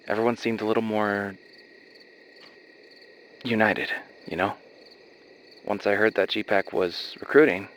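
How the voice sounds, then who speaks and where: Man acting as low-voiced, hesitant young soldier. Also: fairly bright tone, slight background noise. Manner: hesitant, halting confessions with sighs. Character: anxious young soldier, earnest, doubting, former engineer. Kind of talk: naturalistic dramatic dialogue in an animated horror series.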